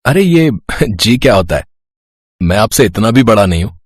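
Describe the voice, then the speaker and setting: A well-bred, smitten young man in a cartoon story. He sounds friendly and hesitant, with a low, husky tone in a dry recording. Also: sing-song, moderate pace, no echo. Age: young adult. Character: polite, well-mannered rich young man, shyly smitten.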